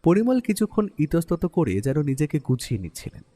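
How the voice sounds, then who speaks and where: A man narrating a detective story in an expressive, dramatic way. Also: a little high, a little fast. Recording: faint background music.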